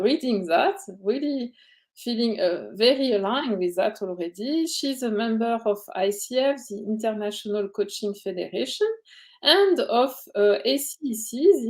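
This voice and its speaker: Slow, halting woman host from Europe. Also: fairly high pitch, a little mellow. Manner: halting, frequent fillers and restarts. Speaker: interviewer, coach. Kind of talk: hosting an online interview.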